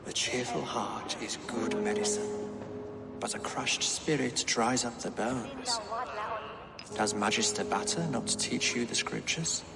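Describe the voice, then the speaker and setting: A man voicing a learned, devout king who speaks in measured, formal phrases. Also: medium pitch, big pitch swings, vocal fry, in a large hall, over loud music. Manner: scholarly, measured, quotes scripture. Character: learned, pious Saxon king.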